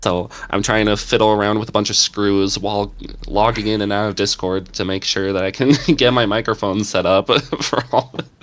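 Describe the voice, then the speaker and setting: A man's voice: lowish voice, steady tone, a little fast, a bit bright. A man chatting casually as a podcast panelist, easygoing and prone to chuckling. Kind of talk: casual chat.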